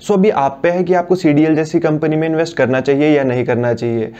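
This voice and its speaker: A man's voice: a little high, fairly even intonation, fast, warm tone, smooth, muffled recording. A young Indian man with an Indian accent presenting a scripted explainer, switching between two languages as he narrates. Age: young adult. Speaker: video presenter. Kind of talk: scripted explainer narration mixing Hindi and English.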